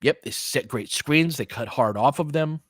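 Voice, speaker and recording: A man's voice: medium pitch, moderate pace, fairly bright tone, slightly rough, dry recording, clean studio sound. A man calling a basketball game in a conversational, slangy way, swearing casually as he mixes play-by-play with analysis. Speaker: podcast host doing play-by-play.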